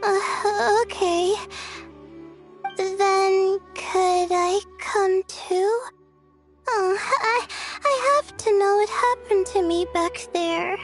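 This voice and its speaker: A woman's voice: very high voice, drawn-out words, exaggerated voice. A woman voicing a timid, anxious young female student in a video game, speaking hesitantly and apologetically. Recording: music playing behind the voice.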